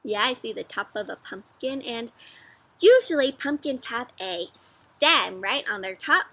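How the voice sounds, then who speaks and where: High, bright-voiced woman reading to kids. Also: unhurried, no room sound. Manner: animated, teacherly, prompting young listeners with questions. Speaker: read-aloud host, teacher for young children. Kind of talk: interactive storybook read-aloud for kids.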